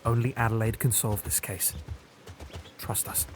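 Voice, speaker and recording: A man's voice: fairly low pitch, flat delivery, measured pace, music in the background, close to an everyday voice. A man playing a cool, cerebral detective in an audio drama, precise, formal and unsentimental. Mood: grave, firm.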